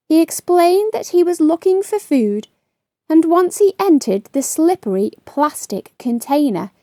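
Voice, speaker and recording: A woman reading a story aloud to children, hosting in an animated, expressive way and speaking directly to her young listeners. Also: on the high side, no echo, clean studio sound. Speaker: children's story reader and host.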